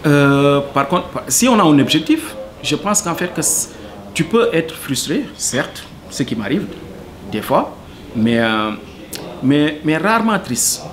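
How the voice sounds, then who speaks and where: A man's voice: on the high side, sing-song, slow pace, crowd noise. A man from Senegal, answering interview questions earnestly and hesitantly, full of fillers. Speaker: cook, interviewee.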